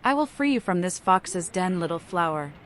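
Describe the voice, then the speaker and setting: A woman's voice: on the low side, lively intonation, moderate pace, a little hiss or hum, lightly acted. A woman voicing a mad, knowing female vampire in a video game, speaking in a theatrical, riddling, poetic manner. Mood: cryptic. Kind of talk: video game mod voice acting.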